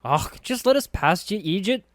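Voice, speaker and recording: A woman's voice: on the low side, big pitch swings, moderate pace, a little mellow, no echo, clean studio sound, voice pushed up. A man voicing a brash, sneering young woman scavenger with a snappish, scornful way of talking.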